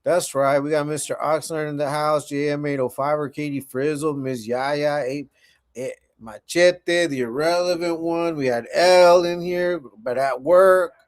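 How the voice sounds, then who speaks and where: A man's voice: a little high, steady tone, unhurried, clean tone. A man in his forties hosting a casual livestream, chatting laid-back with viewers and often repeating himself. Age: 40s. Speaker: livestream host.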